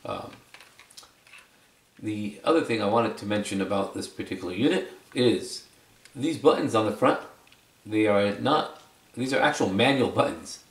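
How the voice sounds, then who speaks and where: A man's voice: fairly low pitch, animated, moderate pace, pauses often. A man giving a casual, unscripted product demonstration in a conversational, explanatory way, repeating phrases for emphasis. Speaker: product reviewer and video presenter. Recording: roomy echo, distant microphone.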